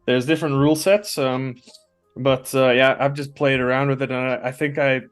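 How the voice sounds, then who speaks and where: A young man who grew up between two countries, talking as a guest in a casual conversation, relaxed and halting with many restarts. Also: mid-range voice, clear, resonant tone, faint music behind. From Norway and the US.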